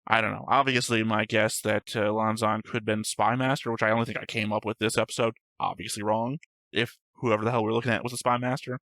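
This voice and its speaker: A man's voice: on the low side, little pitch movement, quick pace, a bit bright, dry recording. A man co-hosting an anime reaction show, rambling and arguing his points while searching for words. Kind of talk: casual discussion.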